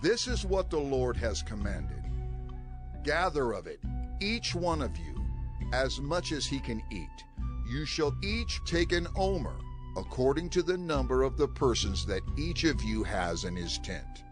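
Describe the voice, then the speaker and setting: An earnest male leader addresses his people in a scripture drama. His pitch moves widely as he gives declamatory, instructive speeches. Music plays clearly behind him. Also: very animated, measured pace. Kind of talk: dramatized scripture audio acting.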